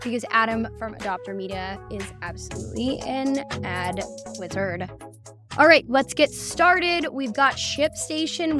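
Female podcast host, slightly high pitch, casual intro style over music. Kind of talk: casual scripted show introduction and sign-off.

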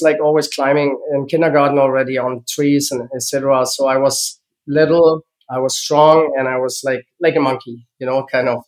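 A man in his fifties with a German accent tells his story in English, a language he learned later, in a fairly high voice. He pauses often and hunts for words, filling the gaps with ums and uhs. The pace is steady, as in an interview. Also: poor audio. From East Germany. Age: 50s. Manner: halting, searching for English words, frequent fillers. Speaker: guest, former gymnast.

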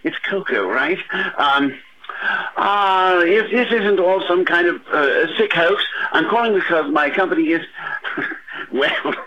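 An actor playing a flustered, evasive factory owner who stammers and chuckles nervously through an audio drama voicemail. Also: male voice, sits high, takes it slowly, sharp tone, exaggerated voice. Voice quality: stammering, hesitant. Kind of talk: audio fiction voicemail monologue. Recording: muffled noisy phone voicemail.

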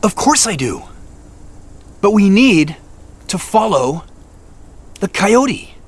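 An actor playing an excitable, uneasy but earnest camper in a naturalistic audio drama, speaking in halting, emphatic phrases. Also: male voice, sits high, slightly rough, exaggerated voice. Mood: urgent, earnest. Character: excitable, uneasy man on a camping trip, earnest.

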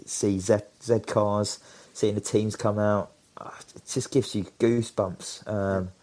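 A man's voice: lowish voice, little pitch movement, a little slow, mellow. An enthusiastic man rambling through casual football chat as a podcast co-host.

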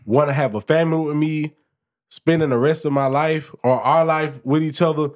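A man's voice: a little high, steady tone, normal speed. A man co-hosting a podcast, chatting casually and explaining his view at length, often restarting his sentences. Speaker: podcast co-host.

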